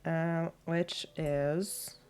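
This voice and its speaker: A woman's voice: sits low, flat delivery, very slow, a little mellow, clean tone. A woman with a mixed Polish and British accent that sounds American, streaming a game, thinking aloud and reading in-game notes with small slips. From Poland.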